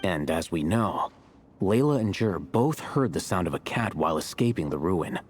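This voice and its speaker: A man playing a composed, learned scholar in a video game, speaking in a measured, matter-of-fact, lecturing way. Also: sits low, lively intonation, breathy edge. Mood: composed, aloof. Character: composed, learned male scholar, aloof and unhurried. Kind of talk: video game character dialogue.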